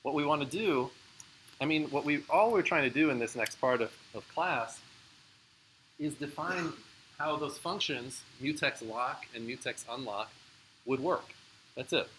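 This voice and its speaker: A man's voice: mid-range voice, unhurried, a bit bright, slight background noise, poor audio. A man giving a live university lecture, explaining in a casual way with frequent fillers and answering student questions. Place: lecture room.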